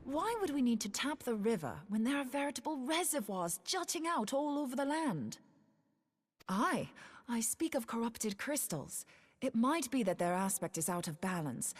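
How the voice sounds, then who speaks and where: An actress playing a brisk, cheeky woman inventor in a fantasy game, talking in a confident, colloquial way. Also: fairly high pitch, expressive, breathy edge. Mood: confident, keen. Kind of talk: scripted fantasy game dialogue.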